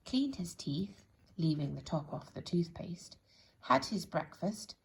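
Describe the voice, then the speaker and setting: A woman reads a children's bedtime story expressively and deliberately, speaking directly to young listeners with a breathy, husky voice. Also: on the low side, a little mellow, a little hiss or hum.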